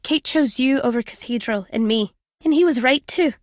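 A woman plays an older British former spy and journalist in a video game. Her voice sits fairly high, in a dry, close recording. She is wry and guarded, teasing yet fond as she speaks. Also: moderate pace, no room sound, lightly acted. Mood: wry, reminiscing. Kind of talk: video game character dialogue.

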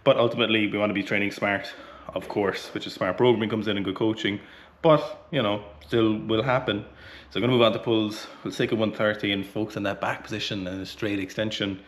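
Irish male coach, 30s, slightly low pitch, casual, swears freely, sweaty between lifts. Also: steady tone, brisk, slight background noise. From Ireland. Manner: blunt, chatty, swears casually. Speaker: weightlifting coach. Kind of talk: casual training vlog talk. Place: small indoor home gym, warm. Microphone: camera close in front.

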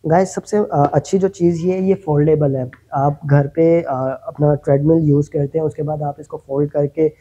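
A man's voice: a little high, steady tone, normal speed, few pauses, dark tone, husky. A man with a Pakistani Urdu accent hosting a casual product review in a gym equipment showroom, chatting to viewers and calling them guys. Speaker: YouTuber host.

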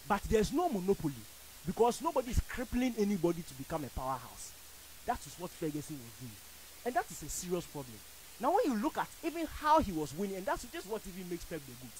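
A man's voice: high voice, big pitch swings, quick pace, darkish tone, a little hiss or hum, rough recording. A man with a Ghanaian accent, arguing football as a panelist on a radio studio show, emphatic and theatrical. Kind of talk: animated studio debate. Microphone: studio boom mic with headphones.

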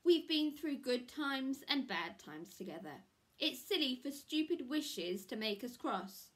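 A woman voicing a woodcutter's wife in a storybook, scolding but loving, emphatic and expressive. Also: high voice, measured pace, exaggerated voice. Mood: tender.

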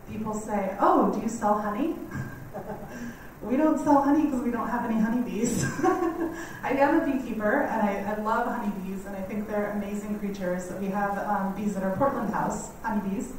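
A woman in her thirties with a quiet, slightly scratchy voice gives a friendly, chatty talk in a lecture hall, laughing often. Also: mid-range voice. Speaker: guest speaker on native bees. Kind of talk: community lecture. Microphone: standing mic heard from a distance. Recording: echoey hall, mic far off, faint noise.

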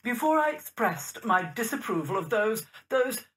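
A woman's voice: on the high side, big pitch swings, moderate pace, fairly bright tone. A woman playing a severe older Victorian mother-in-law in a period audio drama, formal and reproachful. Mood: stern, reproachful. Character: stern, wounded older mother-in-law, genteel Victorian lady.

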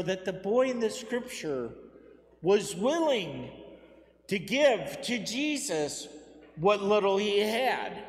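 A man in his thirties, a priest giving a Sunday homily at a lectern, speaking in a measured, earnest way with deliberate pauses. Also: high voice. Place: church sanctuary, at a lectern. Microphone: lectern mic, far camera. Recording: echoey church with long reverb.

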